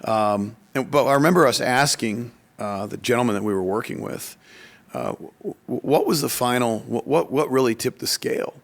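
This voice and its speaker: A man talking conversationally on a podcast, telling anecdotes in a halting way and often repeating words as he explains. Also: medium pitch, lively intonation, vocal fry. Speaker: economic development official, podcast speaker.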